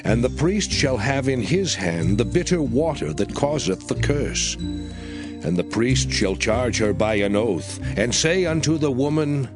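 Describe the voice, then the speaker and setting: Casting: male Bible reader, solemn, lively intonation, mid-pitched, music behind. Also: unhurried. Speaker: scripture reader. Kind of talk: dramatized scripted Bible reading.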